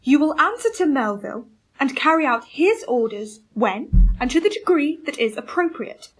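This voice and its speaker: A woman's voice: high-pitched, big pitch swings, normal speed, a bit bright, faint music behind, rough recording. A woman playing a firm, authoritative expedition captain in a radio play, both giving orders and reading out a log. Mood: stern, commanding. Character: commanding expedition ship captain keeping a log.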